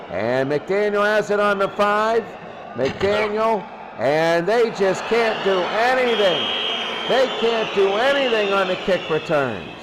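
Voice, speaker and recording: A man calling football play-by-play as a radio announcer, in short clipped phrases that he often repeats. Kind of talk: American football radio commentary. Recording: noisy recording with vehicle-like rumble.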